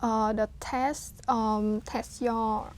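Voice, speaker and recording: Woman in her 30s, kinda high voice, lots of uh and um, halting. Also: flat delivery. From Vietnam. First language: Vietnamese. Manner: hesitant, many fillers and restarts, laughs softly. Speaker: interview guest. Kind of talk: casual interview talk.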